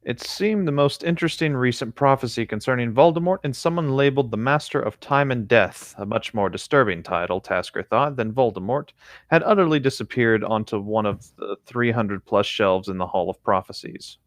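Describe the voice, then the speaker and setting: A man's voice: medium pitch, moderate pace, no echo, clean studio sound. A man co-hosting a read-aloud, moving between narrating the text and chatting casually. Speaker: co-host reading fan fiction aloud.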